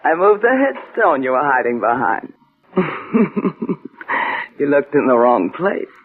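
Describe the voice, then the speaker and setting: An actress in a 1960s radio suspense play, playing a sly, mocking illusionist who coldly schemes murder. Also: female voice, low voice, very animated, takes it slowly, slight background noise, exaggerated voice. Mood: sly, triumphant. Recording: tinny old radio drama, music behind.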